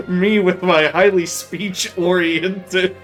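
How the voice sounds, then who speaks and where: A man's voice: high-pitched, fairly even intonation, very slow, a bit bright. A man giving casual, self-deprecating gaming commentary with a co-host, speaking with a speech impediment. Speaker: let's play host reading game dialogue aloud. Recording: game music behind the voice. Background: video game music.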